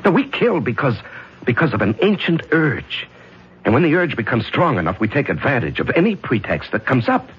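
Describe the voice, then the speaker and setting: A man playing a calm, cold-blooded middle-aged judge who secretly kills, in a 1970s radio drama, smooth and coolly patronizing. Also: mid-range voice, brisk. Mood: soothing, reasoning. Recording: dull old radio broadcast with music.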